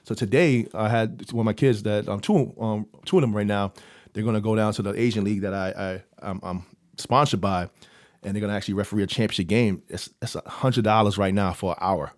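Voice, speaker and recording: A man born in Jamaica who mentors youth, talking in a casual interview with lots of quick restarts and repeated words. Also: on the low side, no room sound, studio quality.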